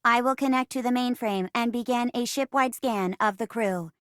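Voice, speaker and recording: A woman voicing an earnest, logical robot in a theatrical space drama game, speaking in a stilted, deliberate way. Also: a little high, fairly bright tone, no echo, clean studio sound, natural voice. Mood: measured, logical. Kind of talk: theatrical sci-fi game voice acting.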